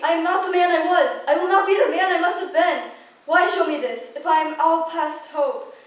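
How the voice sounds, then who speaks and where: Teen girl as repentant old miser, earnest and high-pitched, stage radio play, roomy distant sound. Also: natural voice. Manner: earnest, pleading. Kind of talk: student radio play acting. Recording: echoey room, distant mic, faint noise.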